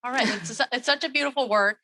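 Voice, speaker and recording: A woman's voice: fairly high pitch, fast, bright, forceful tone. A woman who is an art program director, interviewing an artist on a gallery walkthrough in an encouraging, conversational way.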